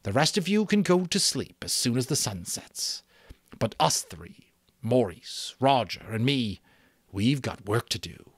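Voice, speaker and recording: Give this storybook role a commanding young chief acted out by a man. The boy's voice sits well above an adult man's usual pitch, swinging widely as he issues solemn, emphatic orders to his tribe. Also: very animated, unhurried, slightly rough, dry recording.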